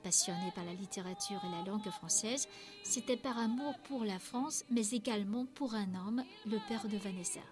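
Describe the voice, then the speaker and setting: A woman giving smooth, scripted documentary narration in an even storytelling manner. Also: medium pitch, moderate pace. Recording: narration over loud background music.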